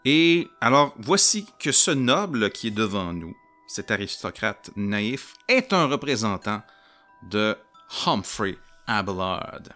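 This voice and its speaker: A low-voiced man with a Québécois accent tells his solo tabletop roleplaying game to the listeners. His delivery is bright and animated, and his pitch leaps up and down as he jokes his way through the story. Faint music plays behind him. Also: slow pace. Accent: Québécois French. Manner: animated, jokey storyteller who talks to his audience.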